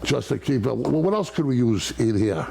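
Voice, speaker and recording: A man's voice: mid-range voice, expressive, normal speed, warm tone. An older man hosting a casual talk show, rambling and joking with his guests. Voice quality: gravelly, husky. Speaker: host.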